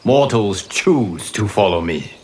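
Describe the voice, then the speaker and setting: Liu Kang, a serene demigod. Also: male voice, lowish voice, lively intonation, darkish tone, slightly rough, full character voice. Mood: lofty. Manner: measured, lofty. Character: serene male demigod, measured and aloof. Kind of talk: fighting game intro dialogue.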